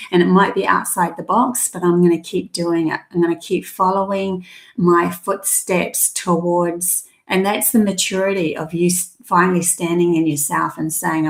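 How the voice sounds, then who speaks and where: Woman, Kiwi-Australian accent, tarot reader on a live stream, hesitant and rambling, lowish voice, echoey room. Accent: mixed New Zealand and Australian. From New Zealand. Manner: rambling, hesitant, many ums and restarts.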